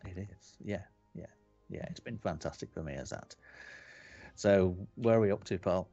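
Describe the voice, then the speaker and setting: A Yorkshire man chatting as the host of a game webcast, his voice sitting low and a little dull, as if through a modest webcam mic. He rambles, repeats himself and fills the gaps with "um" and "yeah". Faint music plays underneath. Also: lively intonation, moderate pace, gravelly, mellow. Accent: Yorkshire English. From West Yorkshire, England. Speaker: podcast host. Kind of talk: casual chat on a game webcast. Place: home room lit red.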